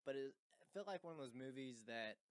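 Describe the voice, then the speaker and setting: A man's voice: mid-range voice, expressive, a little fast, clear, resonant tone, no room sound. A man guesting on a casual movie podcast in a home studio, easygoing and joking, with frequent laughs. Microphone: studio microphone.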